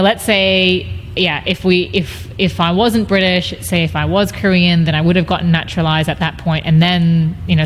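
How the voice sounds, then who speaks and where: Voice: medium pitch, a bit bright. A person giving a documentary interview, speaking hesitantly with many fillers. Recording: echoey room with faint noise.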